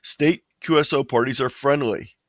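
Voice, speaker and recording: A man delivers an informational slide presentation in a medium-pitched voice. His manner is plain and explanatory, like a webinar lecturer. The sound is dry, with very little room echo. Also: normal speed.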